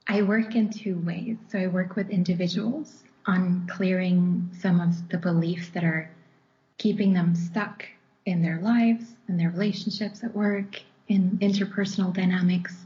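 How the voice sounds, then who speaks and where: A woman's voice: on the low side, dark tone, muffled recording. A woman whose first language is German, a coach chatting as a podcast guest with frequent laughs and tag questions. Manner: laughs often, says "right?" a lot. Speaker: guest, subconscious-belief coach. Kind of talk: casual podcast conversation.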